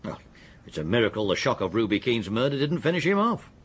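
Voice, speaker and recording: An actor with an English accent playing a methodical, deferential police detective in a radio drama, his voice husky. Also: medium pitch, sing-song. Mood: confident, deferential. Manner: deliberate, factual, deferential. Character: methodical, deferential male police detective, confident and matter-of-fact. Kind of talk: British radio drama acting.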